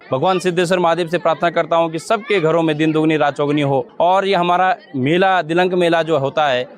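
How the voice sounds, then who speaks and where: A man speaking as a local committee president, giving formal greetings and explanations. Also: on the high side. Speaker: village fair committee president.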